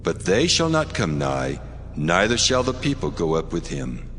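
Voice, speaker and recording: A man performs a divine male figure, solemn and commanding, with a very low voice that moves through wide, lively intonation. He speaks in a dramatized scripture style inside a long, cavernous reverb. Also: normal speed. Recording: long reverb, music behind. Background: background music.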